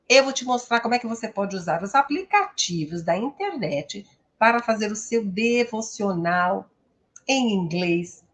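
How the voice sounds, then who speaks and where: A woman with a Brazilian accent speaking as an English teacher and host, giving an instructional, promotional talk in a didactic, emphatic manner with frequent tag questions. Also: medium pitch.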